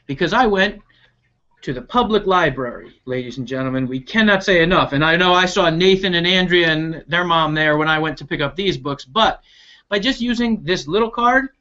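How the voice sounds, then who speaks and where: A man's voice: sits high, pushed and bright. A man hosting a children's read-aloud over a video call, upbeat and chatty, explaining things directly to his viewers. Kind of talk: expressive storybook read-aloud with chatty asides. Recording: dull lo-fi online video call.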